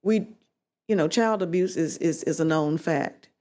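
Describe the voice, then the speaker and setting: A woman on the committee raises a concern at a hearing. Her voice is on the low side. She talks deliberately and restarts a few phrases. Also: a little mellow. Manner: deliberate, halting. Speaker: committee member. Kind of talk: committee discussion.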